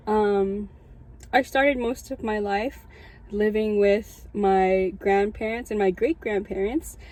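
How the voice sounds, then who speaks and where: A woman's voice: medium pitch, fairly even intonation, takes it slowly, darkish tone. A woman in her early twenties with a Californian American accent, giving a personal testimony as a student chaplain in an informal, conversational storytelling way. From Southern California, United States. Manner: informal, conversational, frequent "like" asides. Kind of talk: casual personal storytelling to an audience.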